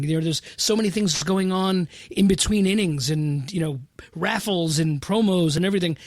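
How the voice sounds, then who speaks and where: A man's voice: high voice, no echo, clean studio sound. A middle-aged man from New York City co-hosting casual sports talk, rambling and repeating his phrases. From New York City, United States. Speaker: podcast co-host.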